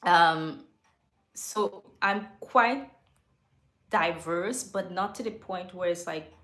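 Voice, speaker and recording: A woman's voice: fairly low pitch, slow pace, a bit bright. Woman host, casual livestream chat, candid and rambling, echoey home studio. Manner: rambling and candid, with frequent self-corrections.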